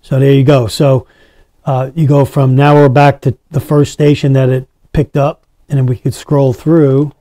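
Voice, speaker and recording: Man doing a laid-back product review, mellow voice, close dry sound. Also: medium pitch, little pitch movement, normal speed, no room sound. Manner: addresses viewers as guys. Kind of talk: casual hands-on product demonstration.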